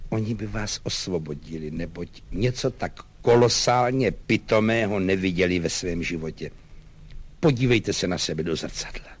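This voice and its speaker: Storybook army officer, male performer, exasperated and contemptuous, measured sarcastic scolding, animated intonation. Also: lowish voice, voice pushed down.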